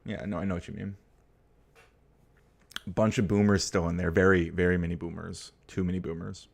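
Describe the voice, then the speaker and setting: A man's voice: low voice, little pitch movement, normal speed, vocal fry. A young American man hosting a livestream, chatting casually about politics and answering viewer comments, rambling with lots of fillers and getting blunt and profane. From United States. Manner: rambling and hesitant, full of fillers and restarts; blunt and profane when riled. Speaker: livestream host.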